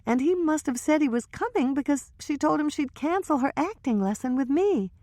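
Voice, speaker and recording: A woman doing a high, nervous gossiping girl. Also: lively intonation, normal speed, dark tone, dry recording. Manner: breathless, hesitant gossip. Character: young woman, nervous, gossipy and easily flustered.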